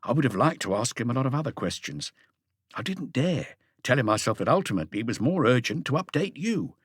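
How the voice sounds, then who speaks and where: A man's voice: medium pitch, big pitch swings, a little fast, slightly rough, dry recording, clean studio sound. A man voicing an earnest, slightly awkward thirty-five-year-old police inspector reporting in careful detail in an audiobook. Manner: detailed, deferential reporting with asides.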